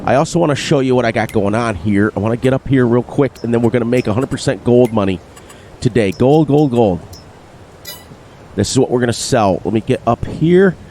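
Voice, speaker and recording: Upbeat man, slightly nasal, mellow voice. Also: medium pitch, a little fast, slight background noise. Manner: upbeat, sing-song repetitions, excitable. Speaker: gaming channel host. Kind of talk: narrated gameplay commentary.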